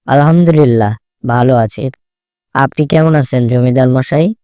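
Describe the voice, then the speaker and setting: A man voicing a kindly, hopeful village father in a cartoon, speaking politely and gently. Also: mid-range voice, measured pace, clear, resonant tone, dry recording, clean studio sound, close to an everyday voice. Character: kindly, hopeful village father, plain-spoken fish farmer. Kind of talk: Bengali cartoon voice acting.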